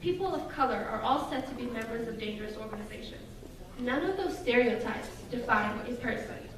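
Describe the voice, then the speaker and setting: A young woman from Russia delivers a prepared speech to an audience in an earnest, measured way. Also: fairly high pitch, lively intonation. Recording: echoey hall from far off, noisy.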